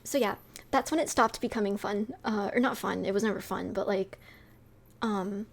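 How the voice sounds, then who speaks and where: A woman's voice: mid-range voice, moderate pace, vocal fry. A young woman with a sweet voice, chatting casually with her stream viewers, rambling with lots of fillers and light laughs.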